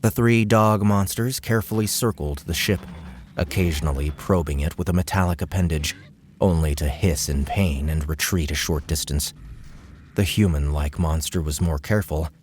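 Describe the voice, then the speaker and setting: A male audiobook narrator with a deep, low-pitched voice. He delivers scripted fiction as dramatic narration. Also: moderate pace, a little hiss or hum.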